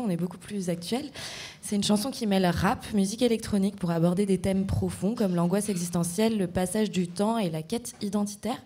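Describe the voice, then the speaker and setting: A woman's voice: mid-range voice, mellow, husky. A woman hosting a cultural interview, putting partly prepared questions to guests. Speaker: interviewer and host.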